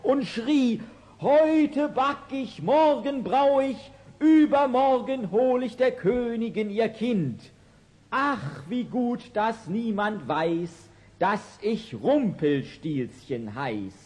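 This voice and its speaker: A man's voice: very high voice, lively intonation, takes it slowly, a little mellow, exaggerated voice. A man playing a loyal, earnest royal messenger, telling his report dramatically in a fairy-tale audio play. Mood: imitating gleeful chant.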